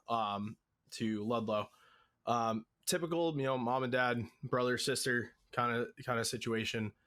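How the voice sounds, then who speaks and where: A young man from Connecticut, a guest on a podcast, answering in an easygoing way with many pauses and fillers. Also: fairly low pitch, a bit bright. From Connecticut, USA. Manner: easygoing, many "um"s and "you know"s, laughs at himself. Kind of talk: casual interview answers.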